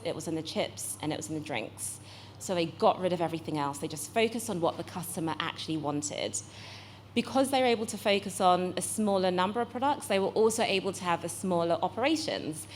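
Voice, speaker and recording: Lowish-voiced British woman explaining. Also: a little hiss or hum. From United Kingdom. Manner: chatty, self-correcting, explains with examples. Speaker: guest speaker, accelerator managing director. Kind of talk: fireside chat on a panel stage.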